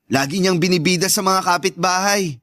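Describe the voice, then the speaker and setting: A man's voice: high voice, moderate pace, sharp tone, dry recording, clean studio sound. An actor playing a humble, grateful young man talking to his older sister in a Tagalog radio drama, earnest, with a Filipino accent. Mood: light, familial.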